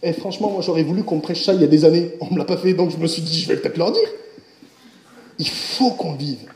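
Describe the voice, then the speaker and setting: A man preaching a sermon, speaking directly to his congregation in an animated way. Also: on the high side, fast talker, reverberant. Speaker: pastor.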